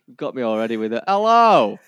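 This is a Yorkshire man with a middling pitch. He hosts a podcast in a casual, chatty style, and the sound is dry with hardly any room in it. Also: no room sound. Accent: Yorkshire English. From Yorkshire, England. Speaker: podcast host. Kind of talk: casual hosted chat.

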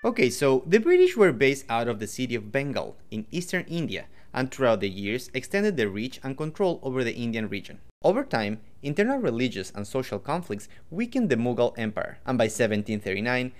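Lively man from Ecuador co-hosting. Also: medium pitch, a little fast, few pauses. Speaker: podcast co-host. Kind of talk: scripted history podcast.

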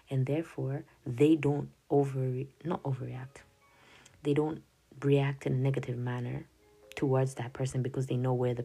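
Low, gravelly-voiced woman rambling. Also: warm tone. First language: Swahili. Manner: rambling, self-interrupting, with frequent laughs and "yada yada". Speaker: podcast host. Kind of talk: casual journal-reading podcast monologue. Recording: faint music behind the voice.

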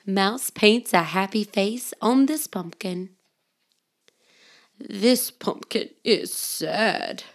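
A woman hosting and reading children's storybooks aloud in an expressive, simple way for young children. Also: medium pitch. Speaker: children's storybook podcast host and reader.

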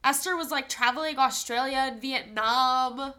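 A woman's voice: sits high, normal speed, sharp tone. A young woman co-hosting a podcast with a stuffy nose, chatting casually and laughing often while telling stories. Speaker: podcast co-host. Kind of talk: casual chat.

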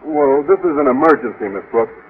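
An actor playing a bashful, naive schoolteacher with a formal, earnest manner in an American radio comedy from the 1950s. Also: male voice, mid-range voice, animated, a little fast, natural voice. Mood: anxious. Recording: dull, faintly noisy old radio recording.

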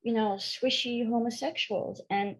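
Frank, husky middle-aged woman therapist. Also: a little high, fairly even intonation, unhurried, rough recording. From France. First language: French and English, bilingual. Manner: frank, candid, matter-of-fact with hesitations. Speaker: sex therapist guest. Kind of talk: storytelling interview answers.

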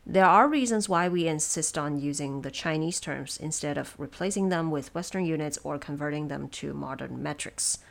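A woman hosting production notes, explaining things in a measured, semi-scripted and lightly wry way. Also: deep voice, vocal fry. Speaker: host of production notes for an audio drama.

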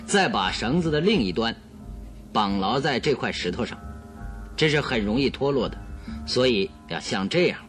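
A man's voice: fairly low pitch, fairly bright tone. An actor playing a confident male detective in a radio drama, explaining deductions in a theatrical, self-assured way.

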